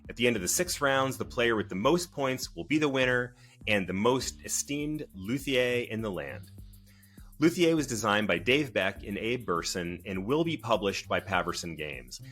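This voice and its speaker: Bright, low-voiced man presenting. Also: expressive, moderate pace, faint music behind. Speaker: co-host of a board game review podcast. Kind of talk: scripted explanatory narration.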